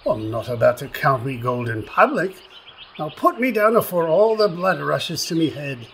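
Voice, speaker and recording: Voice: medium pitch, sing-song, moderate pace, gravelly, slight background noise. An actor in a radio play voicing a grumpy, suspicious little leprechaun with an Irish accent, blustering and insulting.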